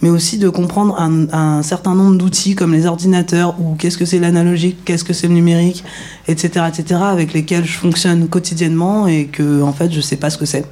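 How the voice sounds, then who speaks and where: Mellow-voiced woman, hesitant and casual. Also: lowish voice, flat delivery, a little fast. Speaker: radio workshop participant. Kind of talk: casual round-table talk.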